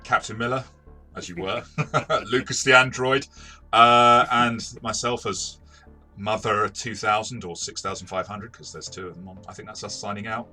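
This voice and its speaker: A man's voice: lowish voice, steady tone, moderate pace, bright, forceful tone, soft background music. A man hosting a casual review discussion as a game master, phrasing his points thoughtfully and correcting himself as he goes.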